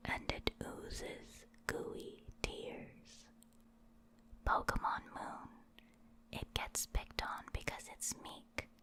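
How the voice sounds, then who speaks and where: A woman reading reference entries in an unvoiced, breathy ASMR whisper, with measured, spaced-out phrasing. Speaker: ASMR host.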